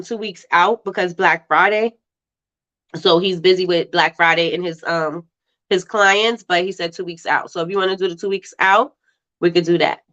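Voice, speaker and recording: A woman's voice: fairly low pitch, fairly bright tone. A woman with a stuffy, congested voice hosting a casual livestream, chatting with viewers, laughing often and repeating herself. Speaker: livestream host. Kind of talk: casual live-stream chat reading viewer comments. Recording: slightly dull lo-fi livestream audio.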